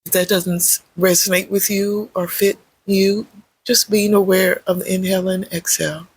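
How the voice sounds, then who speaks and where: A meditation guide with a husky, creaky voice, speaking gently in short, measured phrases with pauses between them. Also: medium pitch, flat delivery, poor audio. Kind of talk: guided meditation, unscripted spoken guidance.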